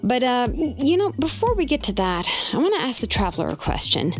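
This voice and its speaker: A woman's voice: high-pitched, very animated, fairly quick, faint music behind. A woman playing a laid-back, confident young craftswoman in a video game, speaking in a casual, matter-of-fact way. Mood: serious, casual. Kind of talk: video game character acting.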